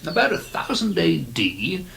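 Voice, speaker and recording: An actor playing an amiable, learned antiquarian captain in a radio horror drama, speaking in hesitant, musing asides. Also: male voice, on the high side, wildly swooping pitch, unhurried, creaky, a bit bright, a little hiss or hum, heavily acted. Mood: scholarly, musing. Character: amiable, learned antiquarian captain, eager guide with uneasy dread. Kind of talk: old-time radio horror drama dialogue.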